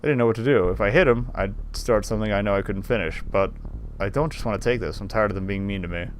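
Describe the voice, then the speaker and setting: A man's voice: on the low side, fast talker, slight background noise. A man streaming a game, reading visual novel narration aloud expressively with wry casual asides. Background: game music.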